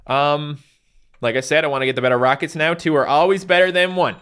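A young man from Newfoundland hosting a casual let's-play, excitable, yelping and joking at the game. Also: a little high, brisk, clear, resonant tone. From Newfoundland, Canada. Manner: excitable, yelps and jokes at the game. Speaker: gaming channel host. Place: home recording room.

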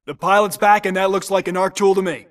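A man's voice: sits high, little pitch movement, fast, recorded from a distance, natural voice. A man playing a watchful, sober squad soldier in a video game, speaking plainly.

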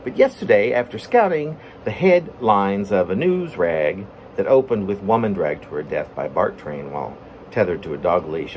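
A middle-aged man giving an informal reading of his own poem, halting and breaking into his own lines with asides. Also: lowish voice. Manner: halting, self-interrupting delivery. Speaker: poet reading own work. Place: plain room at home, indoors. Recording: steady room hum, air conditioning.

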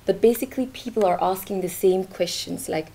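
A young woman from Belgium presenting her artwork at a launch event in a lecture theatre, speaking haltingly with many fillers. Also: medium pitch, gravelly, warm tone. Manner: hesitant, many fillers, gestures while talking. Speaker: artist presenting her work. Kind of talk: informal talk at a launch event.

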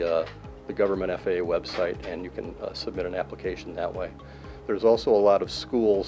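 A man in his fifties, an air traffic control manager, answering interview questions in a control tower in a plain, measured way with many uh's. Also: deep voice. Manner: plain, measured, fills pauses with uh and um. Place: control tower cab, indoors, large windows. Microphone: handheld mic held by interviewer.

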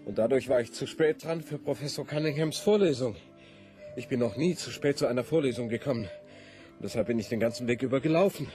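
An actor playing a pedantic, prim, earnest bureaucrat devoted to rules, precise and long-winded in a radio play. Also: male voice, medium pitch, quick pace, dark tone, soft background music, natural voice. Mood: flustered. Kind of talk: German radio play acting.

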